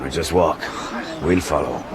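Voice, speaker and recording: A man playing a hostile villager in a radio drama, rough and curt. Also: a bass voice, unhurried, noisy background. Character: gruff, hostile Irish villager.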